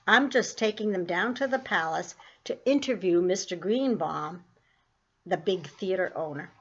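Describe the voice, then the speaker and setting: A woman's voice: on the low side, a little slow, fairly bright tone, breathy edge, muffled recording, full character voice. A woman reading the part of a polite, earnest middle-aged man with a troupe of penguins, in a mild, courteous manner.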